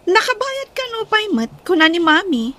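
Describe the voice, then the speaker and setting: A woman's voice: very high voice, sing-song, normal speed, bright, forceful tone, exaggerated voice. A young woman with an Ilocano Filipino accent acting a sassy, defiant student and daughter in a radio comedy-drama. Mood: haughty.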